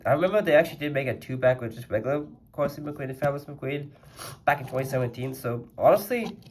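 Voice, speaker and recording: A man's voice: medium pitch, fast, slight background noise. A man reviewing a custom toy car in a casual vlog, rambling and chatty with a slightly congested voice. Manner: rambling and chatty, lots of "like" fillers.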